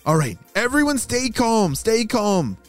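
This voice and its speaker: A man voicing a clever, take-charge young girl in a children's story who talks briskly. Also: sits high, big pitch swings, faint music behind, voice pushed up. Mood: excited.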